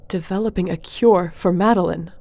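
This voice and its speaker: A woman's voice: fairly low pitch, moderate pace, dark tone. A woman playing an earnest, loyal sorceress accused of a crime, defiant and desperate, in a fantasy audio roleplay. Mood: indignant, pleading.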